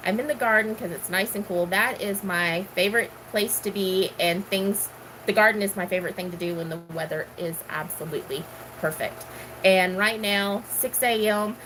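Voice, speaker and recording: A middle-aged woman chats casually to her viewers, working through a list of questions. Her voice is bright and forward, at an ordinary pitch for a woman. She rambles, filling pauses with ums and let's sees. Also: a little hiss or hum, rough recording. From Houston, Texas. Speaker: gardening vlogger. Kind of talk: casual chat answering questions.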